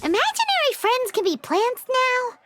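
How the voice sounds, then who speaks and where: A woman voicing a small, chatty, floating female companion who talks about herself in the third person, blunt and easily excited. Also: extremely high pitch, moderate pace, no room sound, exaggerated voice. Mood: excitable. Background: game music.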